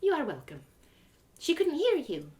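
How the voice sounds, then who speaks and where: A woman's voice: high-pitched, huge pitch swings, moderate pace, a little mellow, pitched above the performer's own voice. A woman voicing an eager, childlike, giggly elf who speaks in quaint clipped phrases with dropped articles in a fantasy story. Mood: earnest.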